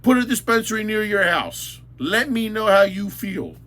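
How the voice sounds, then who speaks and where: High-pitched angry New man. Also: very animated, normal speed, bright, forceful tone. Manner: blunt, insulting, repetitive, emphatic. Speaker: local commentator. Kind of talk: angry ranting monologue.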